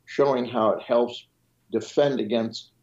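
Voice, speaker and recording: A man's voice: mid-range voice, steady tone, measured pace. Man with a dull remote line. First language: French. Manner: explanatory, with frequent restarts and trailing lists. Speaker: physician guest. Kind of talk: interview answers on a podcast.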